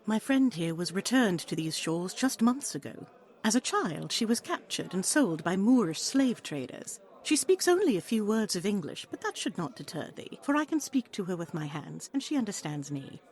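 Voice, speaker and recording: A woman's voice: medium pitch, sing-song, moderate pace, darkish tone. Bold, wily seductress played by a woman, coaxing. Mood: sly, coaxing. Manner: coaxing, sly, formal archaic speech. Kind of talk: audio play acting with archaic English.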